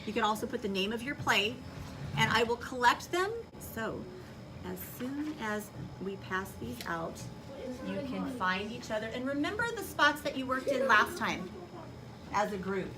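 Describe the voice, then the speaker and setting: A woman teaching young children in a classroom, giving clear directions and checking understanding with short questions. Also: fairly high pitch, animated, normal speed. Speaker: elementary school teacher. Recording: distant mic in noisy classroom.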